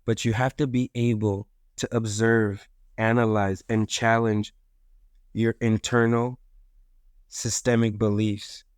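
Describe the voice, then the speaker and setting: A man from South Africa, an educator and podcast co-host, speaking in an earnest, measured way. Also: fairly low pitch, level tone, clean tone, no echo, studio quality. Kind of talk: reflective podcast monologue.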